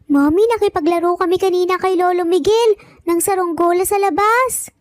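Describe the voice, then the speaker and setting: A woman's voice: very high-pitched, a little fast, no echo. A woman voicing an eager, earnest young boy in a dramatized story. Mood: cheerful, polite. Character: young triplet boys, eager and earnest little brothers.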